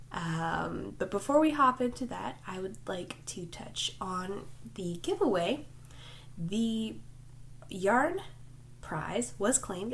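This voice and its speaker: A woman chats casually as the host of a home crafting vlog, her voice stuffy and faintly nasal as she gets over a cold. She rambles in a friendly, self-deprecating way, full of ums and likes, at an average pitch. A faint hiss of room noise sits behind her in a small home room. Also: creaky. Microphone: desk microphone.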